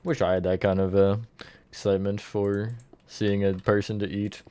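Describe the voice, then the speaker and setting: A man's voice: on the low side, normal speed, clean tone, no room sound. Laid-back man streaming while building. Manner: relaxed, chatty, answers chat, counts blocks aloud. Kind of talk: casual live-stream chat while building.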